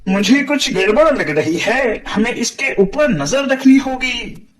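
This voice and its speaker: A man voicing a suspicious villager in an animated cartoon, wary and probing. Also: extremely high pitch, takes it slowly, far from the mic, a loud crowd, heavily acted.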